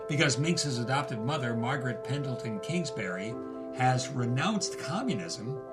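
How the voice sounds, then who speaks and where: A man's voice: medium pitch, lively intonation, normal speed, over loud music. A man co-hosting with scripted, theatrical narration and wry commentary. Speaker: co-host and narrator.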